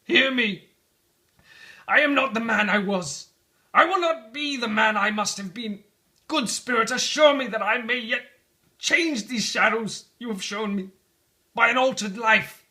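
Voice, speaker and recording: A man's voice: very high-pitched, unhurried, pushed and bright, voice pushed up, full character voice. A man voicing a repentant old miser from a storybook, speaking in fervent, emphatic exclamations. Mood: desperate pleading. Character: repentant old miser, fervently pleading, then giddily joyful.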